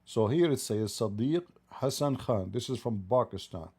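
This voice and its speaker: Sarcastic man, dark voice. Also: medium pitch, expressive, moderate pace, slightly rough. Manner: sarcastic, needling, repeats questions. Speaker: debate livestream host. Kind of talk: mocking, provocative debate talk.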